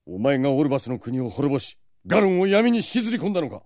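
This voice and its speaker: A man's voice: mid-range voice, sing-song, racing, darkish tone, no echo, studio quality. A man playing a suave, proud, composed vampire lord in an audio drama, self-assured and dramatic. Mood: urgent, commanding. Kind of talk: anime-style audio drama acting.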